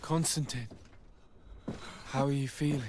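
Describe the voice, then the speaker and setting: A young male hero from a fantasy game cutscene, voiced by an actor. He is dutiful and sincere, speaking in a calm, measured way at an ordinary pitch. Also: background noise, close to an everyday voice. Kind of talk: video game cutscene acting.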